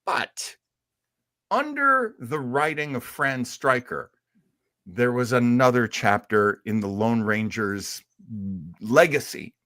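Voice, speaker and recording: A man with a mid-pitched voice and a slow, deliberate pace. He co-hosts a live show on radio history, telling stories expressively in a conversational way. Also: lively intonation, pushed and bright. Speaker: co-host.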